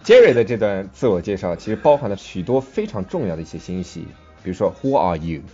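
A man's voice: on the low side, big pitch swings, fast, dark tone, lo-fi sound, faint music behind. A man with a Mandarin Chinese accent co-hosting a podcast English lesson in a joking, bantering way. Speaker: podcast co-host teaching English. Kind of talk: bantering bilingual lesson chat.

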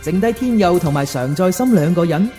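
A man's voice: high voice, expressive, brisk, dark tone, faint music behind. A man narrating a radio drama as a scripted storyteller.

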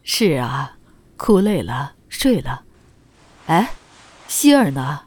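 Casting: solicitous mother for costume drama, female actor, low register, animated intonation, middle-aged. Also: takes it slowly, slightly rough, no echo, full character voice. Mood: caring. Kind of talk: Chinese costume audio drama acting.

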